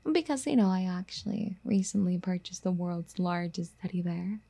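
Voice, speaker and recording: A woman playing a doting, possessive rich girlfriend in an ASMR roleplay, soft-spoken and coaxing, often slipping into whispers. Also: on the low side, moderate pace, vocal fry. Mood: coaxing, eager.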